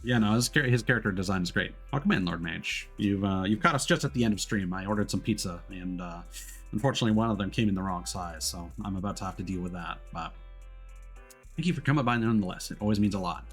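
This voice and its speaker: Low-voiced man streaming, music behind. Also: quick pace, breathy edge. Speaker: VTuber livestreamer. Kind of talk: casual livestream chat.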